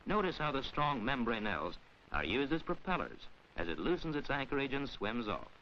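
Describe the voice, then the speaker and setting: Man, formal documentary narrator, crisp diction, instructional, lively pitch movement, dull 1940s film soundtrack, slight background noise. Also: fairly quick. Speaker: narrator of an educational science film. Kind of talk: formal scripted documentary narration, mid-century educational film.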